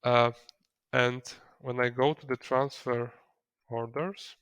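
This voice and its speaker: Gravelly male software tutorial narrator. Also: medium pitch, level tone, takes it slowly, dry recording. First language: not English. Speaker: software trainer.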